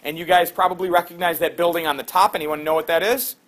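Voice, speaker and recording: A middle-aged man teaching a class, lively and quizzing students with quick questions. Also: on the high side.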